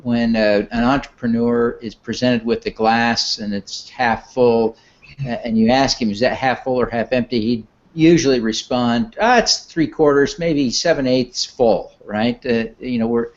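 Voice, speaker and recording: Male interviewer, mid-pitched, measured questions, muffled lo-fi sound. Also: steady tone. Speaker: interview host.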